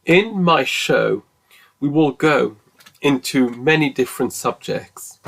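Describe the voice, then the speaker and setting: A man in his forties hosting his own podcast, talking slowly and earnestly in a solo monologue, with frequent ums and restarts. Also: mid-range voice, animated, creaky, a bit bright. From English-speaking, living in France. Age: 40s. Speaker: podcast host.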